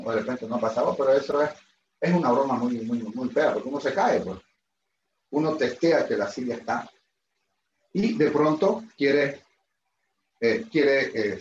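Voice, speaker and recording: A middle-aged man gives a chatty, self-deprecating online lecture and keeps asking his students questions. His headset picks up a roomy echo, and the call audio sounds slightly dull. A faint hum sits under his voice. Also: mid-range voice. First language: Spanish. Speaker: university lecturer. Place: room at a whiteboard, indoors.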